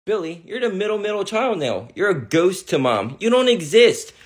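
A man's voice: sits high, very animated, normal speed. A man playing a smug, laid-back older brother in a family comedy skit, teasing in a matter-of-fact way.

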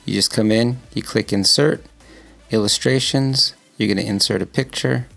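Guy slowly talking thru a computer how-to, super creaky low voice, little music underneath. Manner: relaxed, walks through clicks, playful sound effects. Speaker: DIY show co-host. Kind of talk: screen-recording voice-over tutorial.

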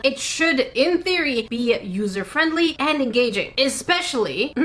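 Animated woman vlogger unboxing a kit. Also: sits high. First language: French. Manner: chatty and animated, with sudden excited squeals and muttered asides. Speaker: craft kit reviewer. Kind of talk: casual unboxing vlog. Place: home studio at a desk.

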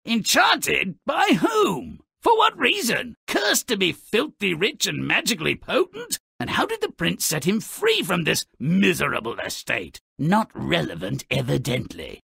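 An actor voicing a sardonic, gleefully morbid gnome-like troublemaker who tells fairy tales in a mocking, theatrical, gravelly way for a cartoon game. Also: male voice, fairly high pitch, pushed and bright, dry recording, studio quality, exaggerated voice. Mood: sarcastic. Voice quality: gravelly, raspy. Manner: theatrical, sarcastic, mocking storyteller. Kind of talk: scripted cartoon game narration.

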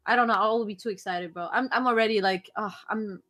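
A young woman from Lebanon with a Lebanese French accent, chatting casually and excitedly on a live stream, often restarting and repeating her words. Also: medium pitch, fast talker, faint music behind. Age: young adult. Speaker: co-host reacting to an anime episode. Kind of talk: casual chat. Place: small bedroom streaming setup, indoors.

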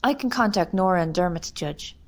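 A woman playing a brisk, businesslike Irish village committee chairperson in an amateur radio drama. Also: on the low side, expressive, lightly acted. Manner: brisk, matter-of-fact. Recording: noticeable background noise.

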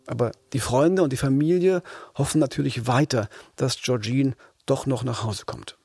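A man hosting a crime podcast as a reporter, explaining a case conversationally with measured emphasis. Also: medium pitch, vocal fry, darkish tone, breathy edge, dry recording, studio quality. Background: background music.

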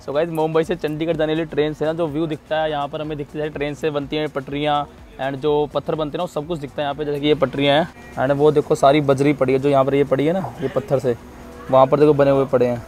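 A man's voice: on the high side, level tone, fast talker. A man, chatting casually to a handheld camera as a travel vlogger. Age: 20s. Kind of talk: casual vlog chat to camera. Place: outdoors at railway stations and aboard trains. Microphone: handheld camera at arm's length. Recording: loud music over handheld outdoor recording. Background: loud music.

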